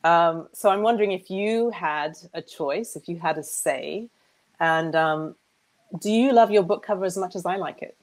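Lady with a lowish voice asking questions on a video call, earbuds, chatty and curious. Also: normal speed. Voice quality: low voice. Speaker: interviewer. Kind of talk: casual video-call conversation. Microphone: wired earbuds.

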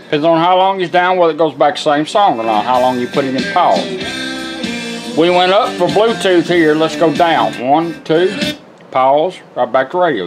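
A man's voice: on the high side, poor audio. A man giving a casual, folksy, step-by-step product demonstration in an informal way. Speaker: vintage car radio restorer demonstrating. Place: indoor workshop bench.

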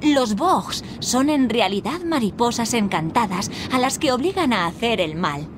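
An actress playing a kindly, encouraging fairy guide who gives patient instruction in a video game. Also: female voice, fairly high pitch, animated, unhurried, background noise. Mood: instructive. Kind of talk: scripted game tutorial dialogue.

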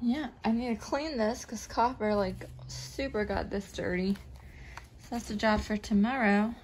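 A woman's voice: mid-range voice, a little slow, slight background noise, rough recording. Chatty young woman vlogging at home. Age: young adult. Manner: chatty, upbeat, relaxed. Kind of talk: casual day-in-the-life narration. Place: home interior and car. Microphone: phone camera, handheld selfie.

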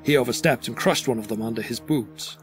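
A man narrates a scripted horror story as in an audio drama. His voice sits at an average pitch, and music plays clearly behind him. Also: fairly quick.

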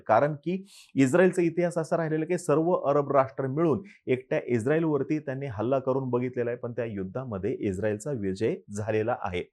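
A man giving a scripted news explainer in a measured, explanatory way, asking rhetorical questions and answering them. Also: medium pitch, a little mellow.

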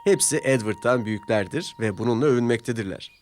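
A man's voice: mid-range voice, quick pace, darkish tone, a little hiss or hum. A man reading scripted narration for a children's story. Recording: music behind the voice.

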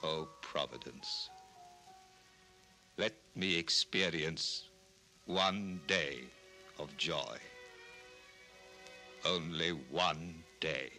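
A brooding, anguished man of music in a deep, forceful voice. He declaims slowly and gravely, as in a monologue on a 1960s children's story record, with music underneath. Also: full character voice. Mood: solemn pleading. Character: brooding, solemn composer voicing his anguished testament.

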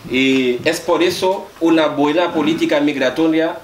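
A man's voice: fairly high pitch, moderate pace. A middle-aged man speaking emphatically on a panel, repeating phrases for stress as he makes his case to an audience. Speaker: panel speaker, migrant rights advocate. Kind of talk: impassioned panel talk to an audience. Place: small room with hard walls, indoors. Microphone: far camera microphone. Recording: distant mic, echoey room, faint noise.

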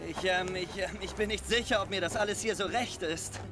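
A man's voice: high voice, fairly quick, music in the background, natural voice. A man voicing a nervous, wordy, brainy soldier who worries, in a German-dubbed video game cutscene. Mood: uneasy. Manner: hesitant, wordy, anxious.

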